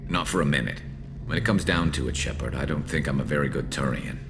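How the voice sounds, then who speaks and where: A man playing a loyal, battle-weary male alien soldier in a video game, with a dry, wry, measured delivery. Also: rumbling low, noisy background. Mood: wry, relaxed.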